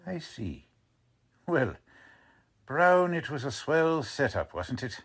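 A man's voice: medium pitch, big pitch swings, slow pace, a bit bright, husky, heavily acted. A man playing a stubborn, cocksure working-class police lieutenant in an old-time radio drama, talking in tough, ungrammatical slang. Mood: sarcastic, accusing.

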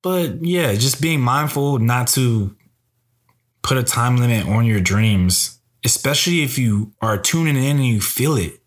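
A young man speaks in a gentle, musing way on a podcast. His tone stays encouraging as he thinks out loud. His voice sits at a middle pitch. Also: expressive, measured pace. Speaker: podcast co-host. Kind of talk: casual conversation about astrology.

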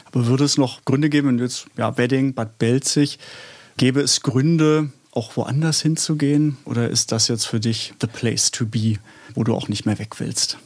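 A man from Stuttgart conducting an interview, asking measured, probing questions. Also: mid-range voice, darkish tone. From Stuttgart, Germany. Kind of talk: radio-style interview.

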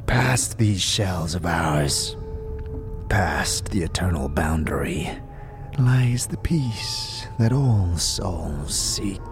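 A man playing a frail, weary old scribe in a fantasy audio drama, speaking solemn archaic lines with a breathy, wheezing, whispery rasp. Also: low-pitched, expressive, exaggerated voice. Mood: solemn, reverent. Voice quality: breathy, wheezing, rattling with whispered trailing phrases. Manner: slow, solemn, archaic formal speech. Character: frail, weary, ancient undead-order scribe, solemn and contemptuous. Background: music behind the voice.

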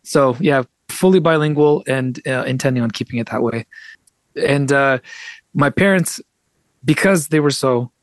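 Man, middle-aged, native Russian speaker, animated wry storyteller, mid pitch, dry sound. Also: normal speed, dry recording. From United States. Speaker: guest author and translator. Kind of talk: conversational interview storytelling.

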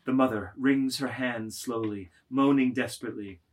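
Measured narrator reading stage directions. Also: mid-range voice, steady tone, slight background noise.

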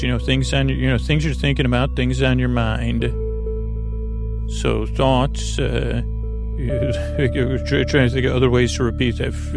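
A man hosting a sleep podcast in an informal, meandering, gently reassuring monologue, hesitating and trailing off mid-thought. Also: medium pitch, gravelly. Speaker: podcast host.

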